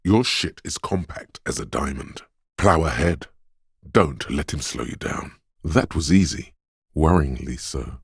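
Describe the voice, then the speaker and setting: An actor playing a detective's quick-witted, suspicious inner voice of intuition in a video game, narrating in a measured, knowing, urging manner. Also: male voice, a little mellow, dry recording, clean studio sound, full character voice. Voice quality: very deep, smooth, resonant. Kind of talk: scripted game narration, second-person inner voice.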